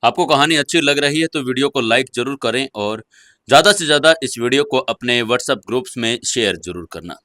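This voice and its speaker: A man's voice: medium pitch, lively intonation, a little fast, pushed and bright, dry recording. A man narrating a scripted audio story in a dramatic storytelling style, close on the mic.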